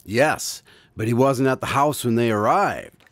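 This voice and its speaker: Formal male radio-show announcer, played by an actor, theatrical and gently needling, very animated pitch, dry studio sound. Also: a little slow, no room sound. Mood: dry, curious. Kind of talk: scripted old-time radio announcing.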